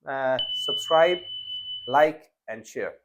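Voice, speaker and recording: A man's voice: medium pitch, drawn-out words, a bit bright, poor audio. A young man hosting a casual interview podcast, talking with frequent fillers and restarts. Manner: hesitant, many fillers and restarts. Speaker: podcast host.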